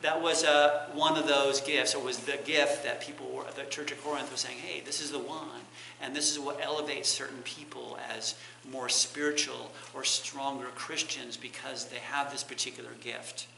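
A man's voice: mid-range voice, level tone, normal speed, hardly any pauses, bright, forceful tone. A middle-aged man giving a teaching sermon in a church, explaining carefully and often rephrasing his points. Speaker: preacher giving a Bible lesson. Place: church sanctuary, indoors. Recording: echoey church room, clear background noise.

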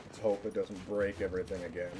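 A man's voice: low-pitched, level tone, quick pace, noisy background. A man live streaming a game, chatting casually in a rambling, jokingly dramatic way. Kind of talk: casual gaming livestream chat.

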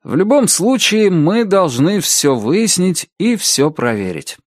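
A man voicing a calm, guarded police officer who answers in a noncommittal, measured way. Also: high voice, no echo, studio quality, pitched above the performer's own voice, full character voice.

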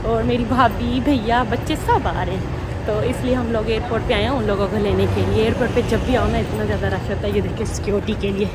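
A woman's voice: medium pitch, brisk. A woman in her thirties from Pakistan, with a Pakistani Urdu accent, chatting casually to viewers in a vlog. She talks straight to the camera and often adds a polite "ji". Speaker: family vlogger. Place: busy airport, parking and terminal. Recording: loud crowd and traffic noise.

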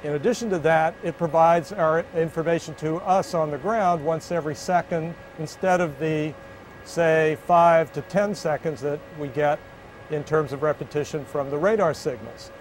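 Older american guy in his 60s explaining stuff step by step, voice a bit high, some steady background noise. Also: moderate pace. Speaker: aviation official and pilot. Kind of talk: explanatory talk to camera. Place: inside an aircraft cabin. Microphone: lapel.

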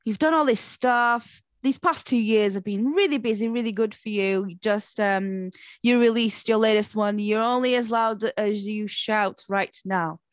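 A woman's voice: medium pitch, unhurried, no room sound. A woman who speaks Portuguese as her first language, hosting a casual podcast interview in a chatty, upbeat way with many fillers. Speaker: podcast host. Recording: dull dry lo-fi audio.

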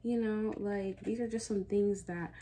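A woman's voice: mid-range voice, steady tone, unhurried, mellow, soft background music. A 20-year-old woman chatting casually and candidly in a personal vlog, rambling reflectively in a bedroom. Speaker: vlogger. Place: small bedroom, indoors.

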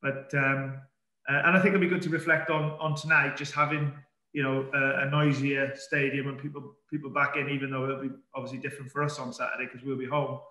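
Calm manager, echoey video call. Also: male voice, medium pitch. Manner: calm, measured, many fillers and restarts. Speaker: football manager. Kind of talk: post-match press conference. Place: indoor press room in front of a sponsor board. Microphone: webcam on a video call. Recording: echoey room.

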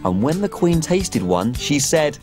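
A performer voicing a tiny cheerful cook on a children's show, telling a story in an excitable way. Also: mid-range voice, unhurried, over loud music. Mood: storytelling. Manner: bouncy, excitable.

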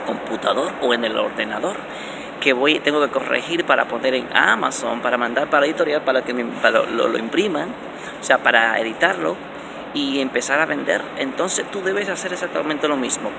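A man in his forties giving motivational self-help advice in a casual vlog, talking with animated emphasis and lots of repetition, filmed on a phone held at arm's length in an underground station. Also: creaky. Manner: animated and repetitive, many restarts, stressing words with rising pitch. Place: indoor underground transit station, concrete walls. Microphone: phone camera held close at arm's length. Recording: noisy phone recording in a station.

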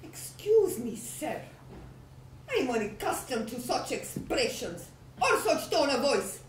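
A woman's voice: high voice, big pitch swings, slow pace, a little hiss or hum, full character voice. A woman voicing a proper young widow who speaks in a stiff, formal, affronted way. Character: proper offended young widow, mistress of the house. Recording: roomy echo, from a distance.

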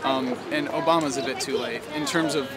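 A man's voice: mid-range voice, steady tone, a little fast. A man in his twenties speaking casually with many fillers in a street interview. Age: 20s. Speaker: rally attendee. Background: crowd.

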